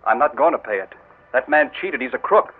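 A defensive young son. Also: male voice, medium pitch, expressive, brisk, husky. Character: defiant young man, boss's son. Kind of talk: 1940s radio acting. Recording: narrow old radio recording.

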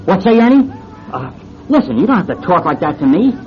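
An actor playing the brash, wheedling owner of a seedy go-go club in a classic radio drama, with slangy, excitable hustler talk. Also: male voice, high voice, fairly quick, slight background noise. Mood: anxious, pleading. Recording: muffled old radio drama recording.

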